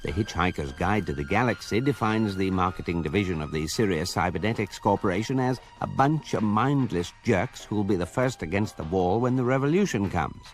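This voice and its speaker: Man as very low, deadpan guidebook narrator. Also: faint music behind, lightly acted. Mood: drily amused. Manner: measured, deadpan, erudite. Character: electronic guidebook narrator, urbane and drily authoritative. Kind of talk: scripted comic radio narration.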